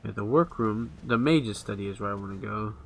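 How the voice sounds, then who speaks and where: A man's voice: lowish voice, brisk, gravelly. A man giving casual let's play commentary, informally talking back to the game characters. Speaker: gamer, video creator.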